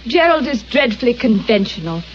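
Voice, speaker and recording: A woman's voice: fairly high pitch, sing-song, a little fast. An actress playing a spirited young woman who speaks frankly and directly, on an old radio recording. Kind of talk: vintage radio acting. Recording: dull old radio recording, faint hiss.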